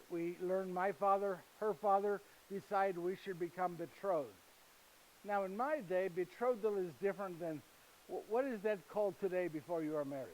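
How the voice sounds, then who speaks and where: An actor performing a first-person monologue as a gentle, humorous husband and father. He speaks broken, foreign-sounding English and keeps checking in with listeners through tag questions like "yes?". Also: sits high, slight background noise, low-quality recording. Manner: chatty, storytelling, addresses listeners directly. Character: gentle, humorous male carpenter, husband and father, middle-aged. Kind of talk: live theatrical monologue.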